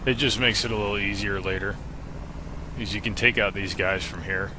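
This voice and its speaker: A man's voice: on the low side, steady tone, a little fast. An American man giving casual, matter-of-fact instructional commentary as the narrator of a video game walkthrough. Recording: voice-over with game combat noise behind.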